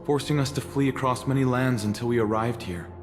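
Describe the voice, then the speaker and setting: An actor playing a humble, weary refugee priest in a video game, speaking politely and earnestly. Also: male voice, medium pitch, steady tone, fairly quick, close to an everyday voice. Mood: respectful. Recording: long reverb, faint music behind.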